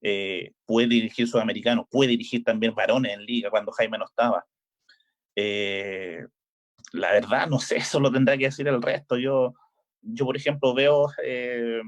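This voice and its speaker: A man's voice: medium pitch, moderate pace. A middle-aged Chilean man, a volleyball coach, talking casually in an interview with frequent hesitations and restarts. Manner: hesitant, many filler "eh"s and restarts. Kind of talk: relaxed interview chat.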